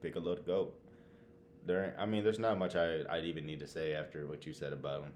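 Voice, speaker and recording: Young adult male co-host, deep voice, casual slangy fan talk, emphatic, faint rumbly noise behind. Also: little pitch movement, a little fast, a little mellow. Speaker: podcast co-host. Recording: clear background noise with rumble.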